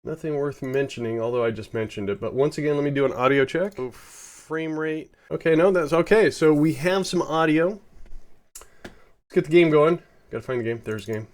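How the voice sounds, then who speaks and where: A man's voice: a little high, moderate pace. A man with a head cold giving rambling, casual commentary while live-streaming a space game, often correcting himself.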